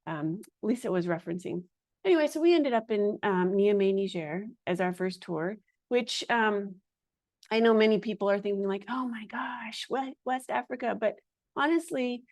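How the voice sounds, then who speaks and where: A woman's voice: medium pitch, normal speed, darkish tone, no room sound, studio quality. A woman podcast host who is a native Hungarian speaker, talking in a casual conversation and rambling with frequent hesitations.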